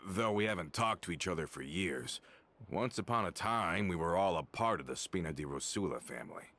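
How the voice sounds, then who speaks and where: A man voicing a calm, formal gang lieutenant in a game, measured in speech. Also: low-pitched, full character voice. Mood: wistful.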